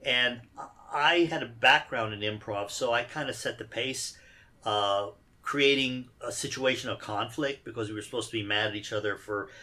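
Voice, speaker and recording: A man with a bright, forceful voice talks easily about his acting work. He answers at a relaxed, conversational pace, slipping in dry jokes between fillers. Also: mid-range voice. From Iran. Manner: easygoing, dry humor, many fillers. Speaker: actor guest. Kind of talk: casual interview answers.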